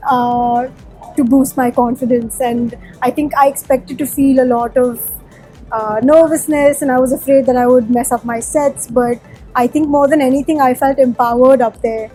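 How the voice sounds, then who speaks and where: A woman's voice: high voice, fairly even intonation, moderate pace, dark tone, faint music behind, poor audio. A woman from Sikkim whose first language is Nepali, a musician answering interview questions in a chatty, candid way with plenty of fillers. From Sikkim, India.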